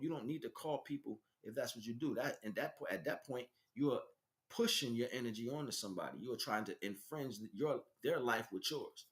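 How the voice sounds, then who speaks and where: A man giving an unscripted spiritual teaching as a livestream host, speaking emphatically and repeating phrases for stress. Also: mid-range voice. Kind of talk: unscripted spiritual monologue, preaching.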